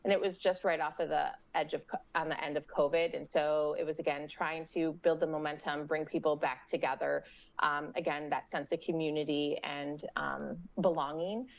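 Low-voiced woman on a video call. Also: little pitch movement, moderate pace, gravelly. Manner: friendly, with frequent fillers and restarts. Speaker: parks and recreation organizer. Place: home room with window blinds. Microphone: webcam or laptop mic on a video call.

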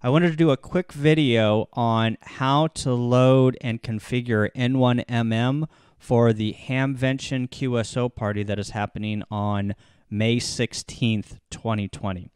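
Casting: male tutorial presenter, smooth clear voice, mid pitch, casual step-by-step instruction, close-miked. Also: steady tone, measured pace, darkish tone, no echo. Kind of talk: casual software tutorial narration.

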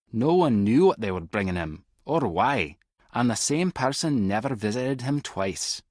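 A clever Scottish intelligence agent in an adventure game. His husky voice sits fairly low and swings freely in pitch. He is wry and tech-savvy, recorded close and dry. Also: no room sound. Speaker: video game voice actor. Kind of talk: scripted adventure game dialogue.

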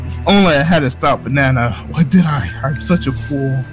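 A man's voice: medium pitch, normal speed, soft background music. A man narrating a visual novel playthrough aloud in an amused, mock-dramatic way.

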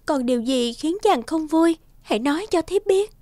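A high-pitched, pleading young princess. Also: female voice, expressive, normal speed, dark tone, dry recording. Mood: anxious. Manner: tender, pleading. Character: gentle, faithful young princess, anxious loving wife. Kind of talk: animated folk-tale dialogue.

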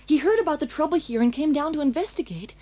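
A woman's voice: high voice, animated, fast talker, dark tone. A woman playing an earnest, nervous young woman in an audio drama, urgent and secretive. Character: earnest, nervous young woman seeking her missing father.

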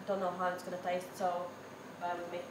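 A woman's voice: lowish voice, monotone, quick pace, noisy background. A woman in her thirties chatting casually in a vlog, giggling and thinking aloud as she goes. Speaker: homesteading vlogger. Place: woodland outdoors, then home kitchen. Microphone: camera mic, handheld close then fixed camera.

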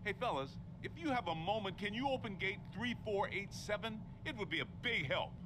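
An actor playing a level-headed, wisecracking ghost hunter in a video game, wry and encouraging in a colloquial way. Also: male voice, sits high, expressive, fairly quick, noisy background. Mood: calm, businesslike. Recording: loud game music and effects behind.

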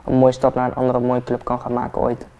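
A young man of eighteen talks about his football career while seated in a barbershop chair. His voice is smooth and dark, delivered on an even, flat pitch. He speaks hesitantly, leaning often on fillers, heard from a camera mic a few meters away. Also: fast. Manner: relaxed, hesitant with many fillers. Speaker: football player, interview guest. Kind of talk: casual interview chat.

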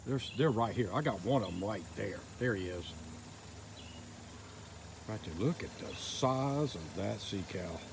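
A man filming from a small boat on a river outdoors, giving excited, quiet running commentary into his handheld phone. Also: on the low side, unhurried, vocal fry, pauses often. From Northeast Florida. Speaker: fishing vlogger. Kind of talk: casual narration while filming. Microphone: handheld phone camera. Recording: outdoor noise, insects behind.

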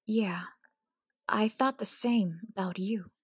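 A woman voicing a shy, reserved young princess cat in a cartoon dub, breathy and hesitant, easily flustered. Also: medium pitch, slow pace, dry recording, studio quality. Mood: reserved, tired. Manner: soft, hesitant, stammering. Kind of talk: cartoon comic dub acting.

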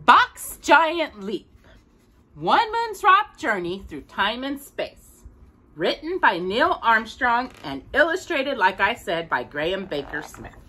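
A middle-aged woman reading a picture book aloud to a child, expressive and deliberate, stressing key words. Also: fairly high pitch, breathy edge. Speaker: host of a children's storytime. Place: small indoor room with bookshelves.

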